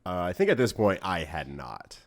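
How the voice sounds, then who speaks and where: A man's voice: deep voice, very animated, moderate pace. A man at a tabletop game, chatting casually in a jokey way as one of the players. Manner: laughs often, jokey. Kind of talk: casual joking chat. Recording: music playing behind the voice.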